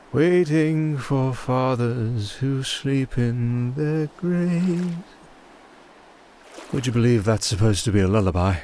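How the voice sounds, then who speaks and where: A nervous young castaway musician acted by a man in a fantasy audio roleplay, rambling and wry, quick to plead and quicker with sarcasm. Ambient noise runs clearly behind his voice. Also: medium pitch, mellow, close to an everyday voice. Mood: mournful singing. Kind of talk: ASMR fantasy roleplay acting.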